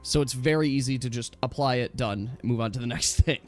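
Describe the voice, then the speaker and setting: A man's voice: mid-range voice, brisk, soft background music. A man chatting casually as a game master, with an enthusiastic, rambling way of explaining things to his players.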